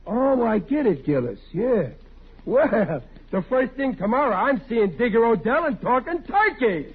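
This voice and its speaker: A man's voice: extremely high pitch, big pitch swings, a little slow, husky, heavily acted. An actor playing a stubborn, gullible working-class husband and father, blustery and stammering, in 1940s radio comedy style. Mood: sheepishly eager. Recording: muffled old-time radio recording, faint hiss.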